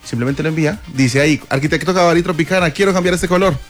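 A man's voice: on the high side, quick pace. A man co-hosts on radio. His delivery is casual and conversational, with light music underneath. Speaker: radio co-host. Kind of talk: casual radio promotion and banter.

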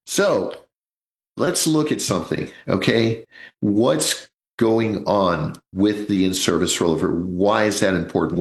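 A man in his sixties with a low voice hosts a live financial radio show. He talks in a chatty, jokey way and keeps turning to his listeners as folks. The studio sound is roomy. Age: 60s. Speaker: radio host, financial advisor.

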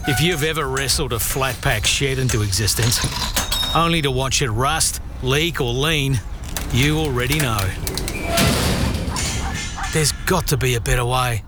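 A man's voice: mid-range voice, unhurried, pauses often. A man doing a radio ad voice-over in a conversational, wry way. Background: music bed.

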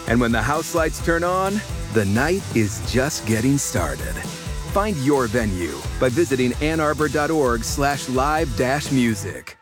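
A man's voice: medium pitch, very animated, measured pace, over music. A man narrating a scripted radio promo with dramatic, promotional flair. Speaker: commercial announcer.

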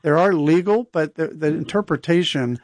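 A man co-hosting talk radio in a dry studio, his voice a touch higher than most men's. He explains his view firmly but stays agreeable, trying to find common ground. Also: moderate pace, dry recording. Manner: conciliatory but firm, explaining. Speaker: radio co-host. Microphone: studio microphone.

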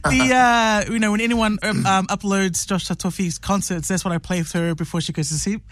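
Very high, bright, eager co-host. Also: male voice, brisk, dry recording. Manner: excited, eager. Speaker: radio co-host. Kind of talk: casual interview questions.

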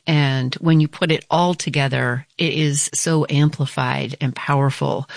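A woman's voice: low-pitched, dry recording. A woman moderating a panel discussion, who introduces guests and rephrases her questions as she asks them.

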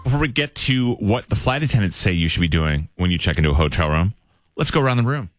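A man hosting a morning radio show, joking and teasing his co-hosts in a big booming voice. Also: big pitch swings, slight background noise. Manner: quick, playful, teasing.

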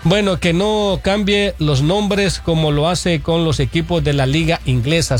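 A man co-hosts a casual sports talk show, reading viewer messages aloud and backing up his partner with steady agreement. His voice sits slightly high. A faint music bed runs underneath. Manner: agreeable, frequent back-channel "ujú".

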